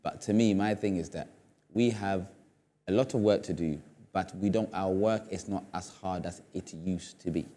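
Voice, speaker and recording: A man's voice: sits low, normal speed, warm tone. A man speaking as a studio guest on a discussion show, earnest and emphatic, often repeating phrases for effect. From Ghana. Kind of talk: panel discussion.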